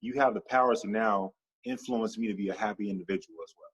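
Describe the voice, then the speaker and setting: A man speaking as a podcast guest in a casual interview, animated and stacking long repeated lists as he talks. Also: fairly low pitch, fast talker, breathy edge, dull audio.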